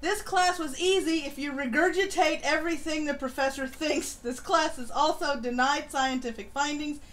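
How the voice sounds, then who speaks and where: A woman with a high voice hosts a casual live show, reading aloud and joking with plenty of swearing. There is faint noise behind her. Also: moderate pace, fairly bright tone. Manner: irreverent, sweary, jokey. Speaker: co-host of a live stream show. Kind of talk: casual chat, reading articles and memes aloud.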